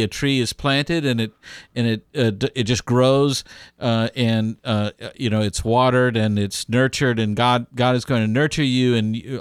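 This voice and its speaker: This is a man with a smooth, clear voice, recorded close and dry. He talks as a podcast co-host in casual comic chat, rambling through his stories and joking as he goes. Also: mid-range voice, no echo. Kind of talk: casual comedic storytelling chat.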